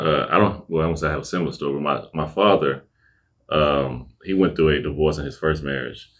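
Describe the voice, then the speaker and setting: A young man hosting a podcast interview, relaxed and casual, with lots of short affirmations. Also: extremely low pitch. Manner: laid-back, frequent affirmations like "okay, okay" and "I got you". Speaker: podcast host.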